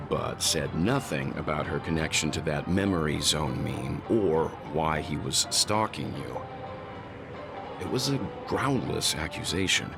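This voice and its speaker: An actor playing a grave, thoughtful mature man in a video game, analysing things warily and deliberately. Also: very deep voice. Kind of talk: scripted video game dialogue. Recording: game audio with music behind.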